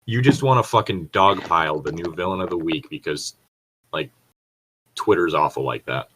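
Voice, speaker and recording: A man's voice: sits low, normal speed, a bit bright, slight background noise, rough recording. A man in casual, opinionated conversation, talking bluntly and swearing heavily.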